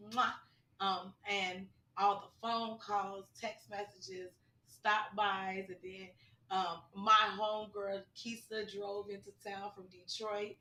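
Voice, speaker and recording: A woman co-hosting a podcast in casual, slangy chat, her voice hoarse. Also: medium pitch, pushed and bright. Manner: chatty, slangy, laughing. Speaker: podcast co-host. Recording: roomy, distant mic.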